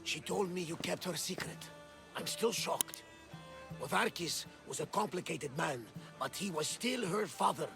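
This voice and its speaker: A man's voice: a little high, animated, unhurried, creaky. An actor playing a proud, hearty one-eyed male lieutenant in a game cutscene with music.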